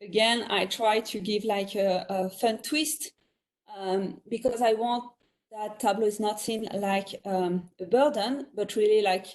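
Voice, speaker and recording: A woman's voice: medium pitch, steady tone, a little slow, a little mellow, poor audio. A woman with a French accent, talking chattily as a guest on a virtual panel, often laughing between thoughts. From France. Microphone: video call.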